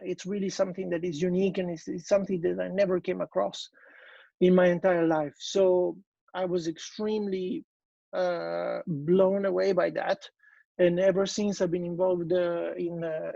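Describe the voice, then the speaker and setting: High, gravelly Italian man. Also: mellow, no echo, muffled recording. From Italy. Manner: hesitant, many fillers and restarts. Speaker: business presenter. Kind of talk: online business presentation.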